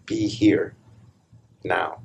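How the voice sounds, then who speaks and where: Male meditation teacher, very slow, even intonation, short calm phrases, long pauses. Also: sits low, creaky, slightly rough, muffled recording. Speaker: spiritual teacher leading a guided meditation. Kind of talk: live guided meditation, slow hushed instruction.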